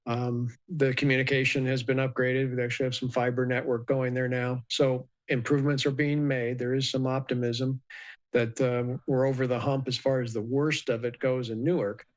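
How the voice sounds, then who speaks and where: A man giving a measured, explanatory expert commentary in a live news interview, with many ums and uhs. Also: medium pitch, flat delivery. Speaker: aviation expert guest.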